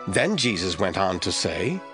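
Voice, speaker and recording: A man's voice: deep voice, sing-song, unhurried, music in the background. A man giving a scripted, dramatic narration of scripture as the narrator of an audio drama.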